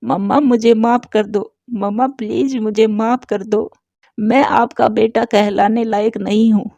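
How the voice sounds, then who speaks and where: A woman's voice: on the high side, steady tone, unhurried, dark tone, no echo, voice pushed down. A woman voicing a sorrowful grown son bird begging forgiveness in a cartoon story. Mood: remorseful, pleading. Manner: pleading, sorrowful. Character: remorseful grown son bird pleading with his mother. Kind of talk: Hindi cartoon story dialogue.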